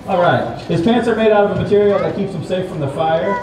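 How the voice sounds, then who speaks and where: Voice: mid-range voice, quick pace. A presenter of a children's fire safety puppet show, explaining things simply and asking the audience questions. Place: large indoor mall, heard from far off. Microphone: far camera. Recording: distant echoey mall hall, noisy crowd.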